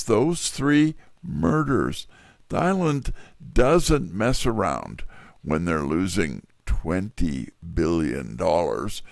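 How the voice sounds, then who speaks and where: An actor playing a wry, tough, wisecracking detective's assistant in hardboiled first-person mystery narration and dialogue. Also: male voice, medium pitch, creaky, dry recording, studio quality, full character voice.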